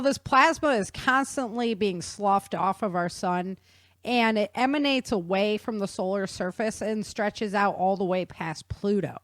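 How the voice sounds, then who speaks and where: A woman's voice: mid-range voice, no room sound. A woman presenting an informal explanatory science talk in a casual, conversational, slangy way. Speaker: author and presenter.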